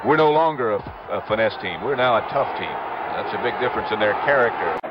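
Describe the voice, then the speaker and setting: A man's voice: fairly low pitch, lively intonation, fairly quick. A man giving football commentary in a noisy, dull archive broadcast. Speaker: sports commentator.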